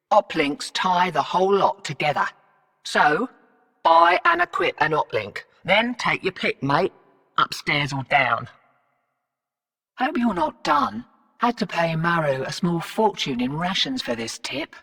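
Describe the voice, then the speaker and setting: Bright, brash Aussie smuggler, echoing hall. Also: female voice, lowish voice, exaggerated voice. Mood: brisk, businesslike. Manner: slangy, cheeky, streetwise. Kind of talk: video game character briefing and callouts. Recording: echoey hall reverb, distant sound.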